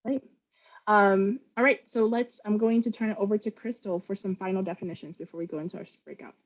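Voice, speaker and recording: A woman's voice: mid-range voice, fairly even intonation, fairly quick, muffled recording. A woman whose first language is Vietnamese, presenting an online training webinar in an earnest manner, with fillers and restarts, on a video call mic.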